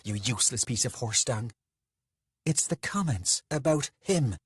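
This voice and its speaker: A man's voice: mid-range voice, expressive, unhurried, husky, no room sound, studio quality, pitched above the performer's own voice. A man voicing an angry, wounded woman in an audiobook, indignant, seething and emphatic. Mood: scornful. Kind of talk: dramatised audiobook dialogue.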